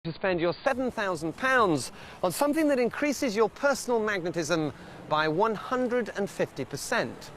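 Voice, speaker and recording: A man's voice: sits high, sing-song, normal speed, fairly bright tone. A man talking, recorded with some ambient noise around him.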